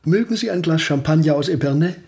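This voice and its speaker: A man's voice: a little high, fast talker, a little mellow, lightly acted. Man acting aging privy councillor, courtly, macabre, flowery phrasing, French flourishes, radio drama, faint music. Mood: wistful, savouring. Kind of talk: German radio play acting. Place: studio radio production.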